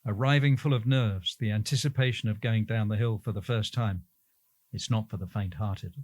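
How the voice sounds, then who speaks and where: A man's voice: lowish voice, moderate pace, no room sound. A man reading out prepared interview answers.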